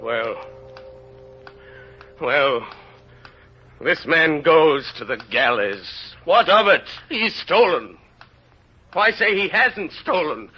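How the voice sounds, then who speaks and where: Casting: tormented mayor with a guilty secret, slow theatrical delivery, old muffled radio drama sound. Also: male voice, fairly high pitch, pushed and bright, slightly rough, heavily acted. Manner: halting, brooding, theatrical soliloquy. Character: anguished mayor hiding his convict past, wrestling his conscience. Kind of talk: 1930s-40s radio drama acting. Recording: muffled vintage radio recording, faint music.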